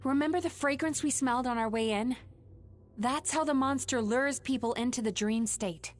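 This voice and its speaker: A woman voicing a calm, resolute young dream-eating woman in a video game, speaking in a gentle, composed and serious way. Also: high-pitched, normal speed, close to an everyday voice. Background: game music.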